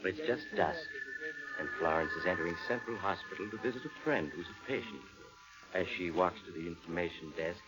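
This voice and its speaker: An actor playing a sinister, mysterious storyteller, narrating theatrically as host of a 1940s radio suspense show, on a dull old recording. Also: male voice, low-pitched. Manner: theatrical, ominous, deliberate. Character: sinister mysterious storyteller inviting listeners to eerie tales.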